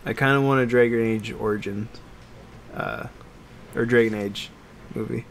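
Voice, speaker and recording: A man with a mid-pitched voice co-hosts a casual podcast. He talks like an excitable fan and swears freely. There is some faint noise and room ambience behind him. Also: normal speed, vocal fry. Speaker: podcast co-host.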